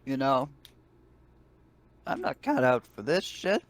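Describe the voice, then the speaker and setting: A man's voice: mid-range voice, big pitch swings, takes it slowly, crowd noise. A man playing a grumpy, foul-mouthed crewman, griping casually in a tabletop game. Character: grumpy, foul-mouthed, beer-loving male spaceship crewman.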